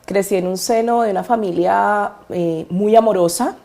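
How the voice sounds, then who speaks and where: A woman's voice: medium pitch, normal speed, creaky, dark tone. A woman with a Colombian accent from Cali, a guest on a podcast. She speaks fondly and thoughtfully, with many hesitations. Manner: fond, reflective, hesitant with many fillers. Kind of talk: interview, personal storytelling.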